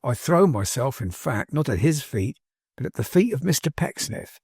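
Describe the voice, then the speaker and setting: A man voicing a proud, hesitant young gentleman in a storybook, speaking formally and earnestly in measured phrases. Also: mid-range voice, big pitch swings, husky, dry recording, clean studio sound, full character voice. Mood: proud, indignant. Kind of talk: dramatised audiobook character dialogue.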